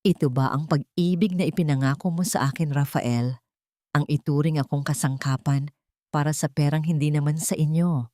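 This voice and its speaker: A woman narrator plays a wounded young Filipina wife in an audio story, pregnant and betrayed. She speaks low, weakly and bitterly, accusing, in a very dry recording. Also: steady tone, normal speed, mellow, no room sound, close to an everyday voice. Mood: hurt, bitter.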